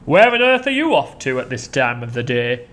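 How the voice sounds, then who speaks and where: A storyteller voicing a big hippopotamus who scolds in shocked, loud outbursts. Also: medium pitch, very animated, moderate pace. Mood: indignant.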